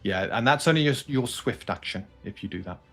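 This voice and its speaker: A man's voice: medium pitch, lively intonation, fairly quick, a bit bright, faint music behind. A man acting as game master, improvising narration and explaining rules in a live tabletop game.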